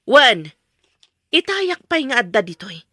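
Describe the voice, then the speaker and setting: A woman's voice: on the high side, very animated, slow, bright, forceful tone, no echo, clean studio sound, exaggerated voice. A woman playing a stern but supportive middle-aged mother in an audio drama, firm and lecturing, with an Ilocano accent.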